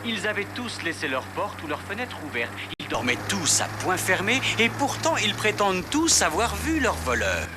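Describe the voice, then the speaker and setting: Casting: woman as cartoon fennec sleuth, male, sceptical and probing, very animated melody, loud score behind. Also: medium pitch, loud music behind the voice, close to an everyday voice. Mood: shrewd probing. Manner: questioning, deliberate, sceptical. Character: Fennec, shrewd, calm male fennec fox detective.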